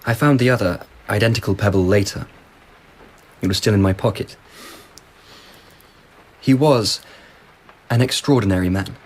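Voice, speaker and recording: A man's voice: fairly low pitch, normal speed, pauses often, a little mellow, lightly acted. A man playing a curious, genial emperor. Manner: genial, commanding and wondering. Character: curious, easily delighted male emperor. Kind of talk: radio drama acting.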